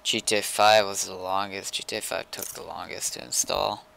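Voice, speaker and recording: Low, gravelly man streaming. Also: steady tone, normal speed, sharp tone, slight background noise, poor audio. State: tired. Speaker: game streamer. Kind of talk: casual chat while gaming.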